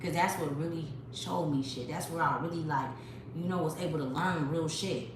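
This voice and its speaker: A woman's voice: deep voice, moderate pace. A woman hosting a spiritual livestream, talking straight to her viewers in a profane, preachy way on a phone. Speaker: livestream host. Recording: very echoey room with noise.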